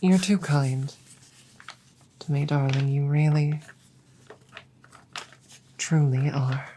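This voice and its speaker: A woman voicing an apologetic, self-blaming dragon girlfriend in a roleplay audio drama, speaking softly, tenderly and haltingly. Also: sits low, a little hiss or hum. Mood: touched, tender.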